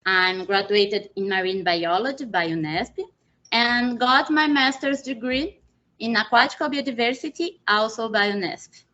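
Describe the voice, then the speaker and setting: Slow Brazilian woman on a video call. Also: fairly high pitch, pushed and bright. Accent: Brazilian Portuguese. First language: Portuguese. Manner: slow, halting non-native English with restarts. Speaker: presenter, PhD student. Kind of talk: webinar presentation. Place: small indoor room. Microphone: video call, laptop mic. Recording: muffled video call audio.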